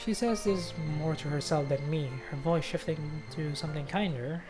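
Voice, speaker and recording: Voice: mid-range voice, normal speed. A streamer giving casual, sweary game commentary and reading the story text aloud in a playful way. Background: faint game music.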